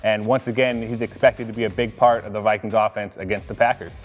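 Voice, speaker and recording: A man's voice: fairly low pitch, flat delivery, fast talker, in a large hall, faint music behind. An American man talking casually as a sports analyst on a team show.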